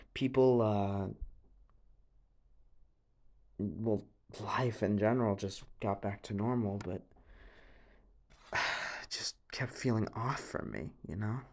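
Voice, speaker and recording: A young man playing an anxious, haunted teenage boy in an audio drama monologue, speaking haltingly with stammers, sighs and a creaky voice. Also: lowish voice. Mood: subdued, wistful. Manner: halting, stammering, sighing, nervous breathy laughs.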